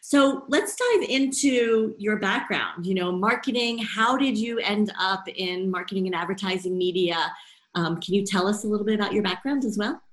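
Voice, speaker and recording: A woman's voice: medium pitch, normal speed. Podcast host woman, echoey room. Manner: warmly affirming, laughs often. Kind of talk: interview hosting.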